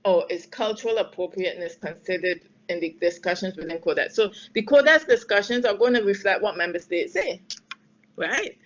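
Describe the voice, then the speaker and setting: A woman's voice: mid-range voice, fairly quick, dull audio. Woman from Barbados fielding policy questions. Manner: thoughtful, many fillers and restarts, emphatic in places. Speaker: trade policy expert answering webinar questions. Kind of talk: spontaneous expert Q&A on a webinar.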